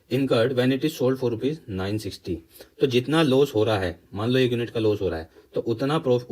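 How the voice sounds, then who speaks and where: A man's voice: mid-range voice, a little mellow. Indian male teacher, instructional question-and-answer delivery. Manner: instructional, asks questions and answers them himself; mixes Hindi explanation with English terms. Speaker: maths teacher for exam preparation. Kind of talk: step-by-step lesson explanation.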